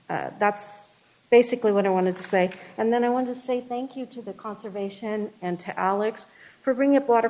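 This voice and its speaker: A woman's voice: medium pitch, a little mellow, low-quality recording. A woman speaking during public comment at a city meeting, hesitant and full of fillers and restarts.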